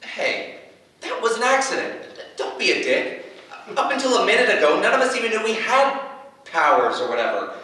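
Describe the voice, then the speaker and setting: An actor in a staged reading playing a nervous, awkward, excitable young man who stammers and gets defensive. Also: sits high, moderate pace, exaggerated voice. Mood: defensive, irritated. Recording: very echoey room, distant mic.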